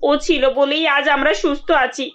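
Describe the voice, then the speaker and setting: A woman's voice: high-pitched, steady tone, moderate pace, fairly bright tone. A woman voicing a kindly, well-off madam in a cartoon, concerned and reassuring. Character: well-off, kindly, grateful madam, protective sister-in-law. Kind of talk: cartoon dialogue.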